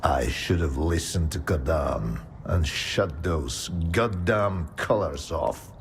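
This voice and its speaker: An actor playing a weary, regretful scientist in a video game, speaking in a resigned way. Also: male voice, a bass voice, expressive, faint music behind, exaggerated voice. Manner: slow, resigned, sighing. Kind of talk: video game dialogue acting.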